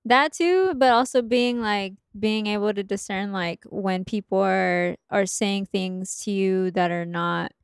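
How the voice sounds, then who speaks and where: A woman hosting a podcast, chatting in a relaxed, agreeable way with many fillers. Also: mid-range voice, measured pace, hardly any pauses, clean tone, dry recording, studio quality. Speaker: podcast host. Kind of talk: casual podcast conversation.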